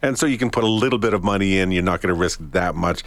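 A man hosting a radio show, asking questions in a relaxed conversational way. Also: deep voice, fast talker, dry recording. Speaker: radio host. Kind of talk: radio interview.

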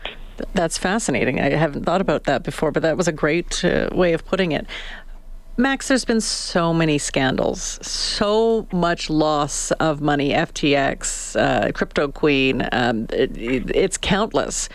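A woman co-hosting a radio show, asking quick, curious questions. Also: sits low, animated. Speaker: radio co-host. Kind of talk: radio interview.

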